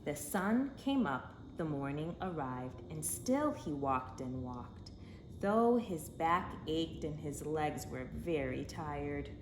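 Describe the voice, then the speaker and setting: A woman reading a children's story aloud in an expressive, dramatic way, with emphatic phrasing for young listeners. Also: sits low, slow. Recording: echoey room with faint background noise.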